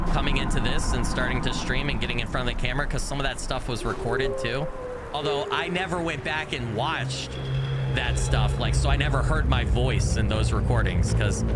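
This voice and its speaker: A man's voice: medium pitch, heavy background noise. Rambling American man streaming a game. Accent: faint American regional. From United States. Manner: rambling, restarts sentences often. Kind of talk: casual gameplay chat with viewers.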